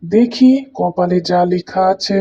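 A poor farmer and father of two , acted out by a voice actor for a cartoon. He sounds weary and fretful, with a middling pitch, on a slightly dull, lo-fi recording. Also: a little slow, mellow, close to an everyday voice. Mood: worried, resigned. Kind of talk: cartoon voice acting.

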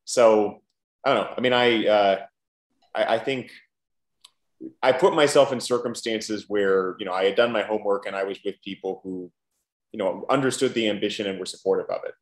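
A film director on a video call, talking in halting bursts full of restarts and fillers. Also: male voice, fairly low pitch, reverberant.

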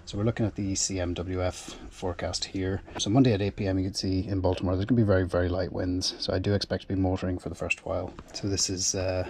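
A man with a low, level voice talks through his plans in a relaxed vlog style, working things out aloud and often doubling back on words. He records aboard a small boat, and a steady layer of noise sits behind him. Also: quick pace, hardly any pauses. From Ireland. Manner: methodical, thinking aloud, repeats words. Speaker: solo sailor vlogger. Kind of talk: casual explanatory vlog narration. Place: small boat cabin and engine bay.

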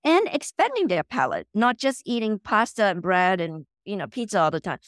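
Woman from Malaysia, middle-aged, animated guest, dry close sound. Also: medium pitch, moderate pace, no room sound. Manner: animated, repeats words when starting sentences. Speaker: guest, company founder. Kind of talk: casual interview chat. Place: home room, video call.

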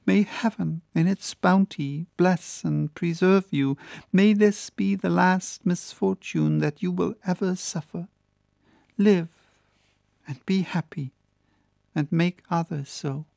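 Gentle doomed young woman played by a man, lifted pitch, tender and pleading, audiobook drama. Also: fairly even intonation, measured pace, vocal fry, dry recording, studio quality, exaggerated voice. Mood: tender farewell. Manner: earnest, tender, pleading delivery. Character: gentle condemned young woman, grateful, sorrowful, resigned.

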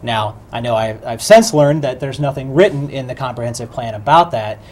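A man in his thirties, a city councilman, explaining at length as a talk show guest in a small studio, steady with some ums. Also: mid-range voice. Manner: measured, explanatory, with fillers. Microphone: lapel mic.

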